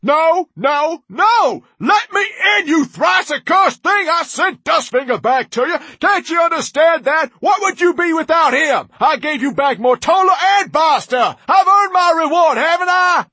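A man voicing an enraged, self-important man in an audiobook, theatrical, indignant and yelling. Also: very high-pitched, animated, measured pace, hardly any pauses, dry recording, pitched above the performer's own voice, full character voice. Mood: furious.

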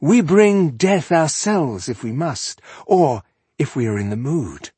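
A man's voice: on the high side, expressive, slow, mellow, slightly rough, no room sound, voice pushed up, exaggerated voice. A man voicing a suave, cruel elf-like warlord in a fantasy audiobook, velvety and mockingly polite in a conversational way. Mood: conversational, self-satisfied.

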